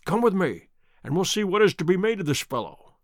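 A man voicing a shrewd, self-assured gentleman detective in an audiobook, courteous and precise in manner. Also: sits high, sing-song, a little fast, dry recording, clean studio sound. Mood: quietly keen. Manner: bland, courteous, confident, precise.

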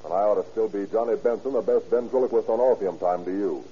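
A man's voice: on the low side, fast talker, natural voice. An actor playing a blunt, exasperated showbiz man with a slangy, no-nonsense delivery in old-time radio style. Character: blunt, exasperated vaudevillian turned screen lover. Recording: muffled vintage radio with faint noise.

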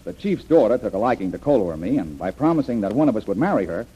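An actor playing a confident, decisive adventure hero in an old-time radio drama, earnest and brisk. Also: male voice, lowish voice, very animated, dark tone, husky. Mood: confident, explanatory. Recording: dull, band-limited vintage radio recording.

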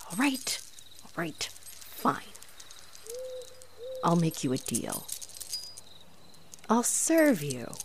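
A woman's voice: fairly low pitch, sing-song, drawn-out words, pauses often, slight background noise, full character voice. A woman playing an aggravated, haughty, flirtatious female vampire in a scripted audio roleplay, with mocking, theatrical delivery. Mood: irritated, bargaining. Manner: mocking, sardonic, theatrical taunting.

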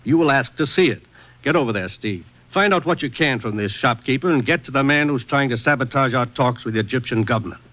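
A man's voice: medium pitch, quick pace, a bit bright, close to an everyday voice, rough recording. A man playing a sober intelligence commissioner in an old-time radio drama, formal and businesslike. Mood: brisk, authoritative. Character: sober, authoritative male government intelligence commissioner. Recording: vintage radio broadcast recording.

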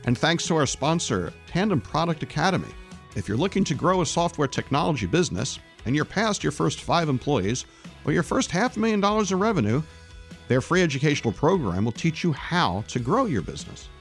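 A man's voice: on the high side, big pitch swings, brisk, faint music behind. A man hosting a business radio show, reflecting on his guest's answers and reading sponsor thanks. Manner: reflective, summarizing. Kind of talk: interview and sponsor reads.

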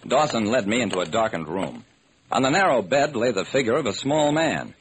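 Wry, animated radio detective. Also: male voice, mid-range voice, fairly quick. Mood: wry, narrating. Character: wry, sharp-witted detective hero, adult man. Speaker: narrator and lead actor. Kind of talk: old-time radio detective narration and acting. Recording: noisy vintage radio recording.

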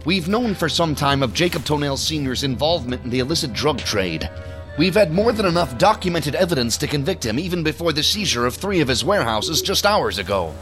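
An actor playing an officious, easily irritated male federal agent who briefs colleagues in a formal, measured, pompous way for an audio drama. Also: on the high side, very animated, music in the background. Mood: authoritative.

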